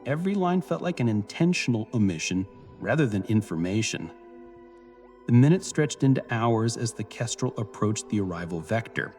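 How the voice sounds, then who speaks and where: A man reading scripted cinematic science fiction narration in a measured, serious storytelling manner. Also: fairly low pitch, very animated, a little mellow. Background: music bed.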